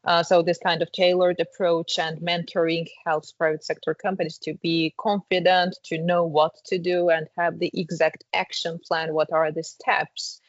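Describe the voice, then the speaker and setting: A woman's voice: on the low side, flat delivery, moderate pace, few pauses, no room sound, lo-fi sound. A woman answering interview questions about a development program, hesitant, with many fillers and restarts. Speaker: program representative.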